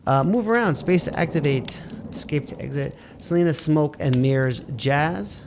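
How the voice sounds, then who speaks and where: A man with a gravelly, fry-heavy voice plays through a spooky video game, reading its text aloud and grumbling at the controls. He curses now and then at what happens on screen. A faint hiss sits under his voice. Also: fairly high pitch, moderate pace. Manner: muttering, swears at the game, reads on-screen text in a flat voice. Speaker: let's play gamer. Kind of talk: casual let's play commentary, reading game text aloud.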